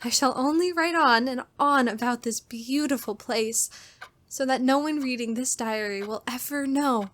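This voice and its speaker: A woman's voice: high voice, normal speed, fairly bright tone. An actress playing a fearful, unhinged woman in a theatrical radio horror drama. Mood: frail, brooding. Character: fearful, unhinged woman diarist who killed her brother-in-law. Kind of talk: old-time radio horror drama acting.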